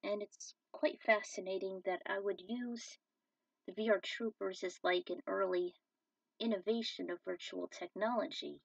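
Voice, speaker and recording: A woman's voice: medium pitch, steady tone, normal speed, gravelly, slightly rough, dry recording. A woman narrating and commenting on her own fan fiction as an amateur storyteller. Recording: dull, slightly distant microphone.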